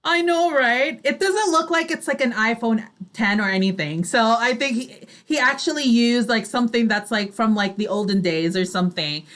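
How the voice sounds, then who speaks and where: A woman's voice: on the high side, moderate pace, few pauses, fairly bright tone. A woman co-hosting a casual live online talk show, chatting in a giggly, informal way. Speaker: online radio show co-host.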